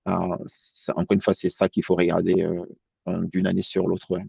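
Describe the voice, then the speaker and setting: A man's voice: low voice, completely flat delivery, normal speed, vocal fry, darkish tone, husky, dry recording. A man who grew up in France, presenting as an accountant in a webinar over a video call, hesitant and full of fillers and restarts. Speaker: accountant guest. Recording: dull lo-fi sound.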